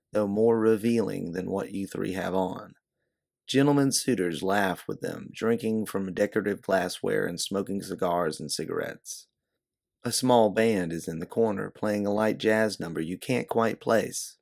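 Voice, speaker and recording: Low, creaky-voiced male storyteller. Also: darkish tone. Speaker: narrator and author. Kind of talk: scripted second-person story narration.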